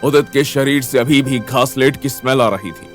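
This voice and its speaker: A man's voice: medium pitch, normal speed, soft background music. A man narrating a scripted Hindi audio story in a dramatic style.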